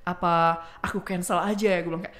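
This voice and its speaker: A woman's voice: fairly low pitch, steady tone, fast talker. A woman in her twenties with a Jakarta accent, telling stories in casual slangy chat and acting out the quotes as she goes. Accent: Jakarta Indonesian. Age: 20s. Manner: chatty, slangy storytelling with acted-out quotes. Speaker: podcast guest.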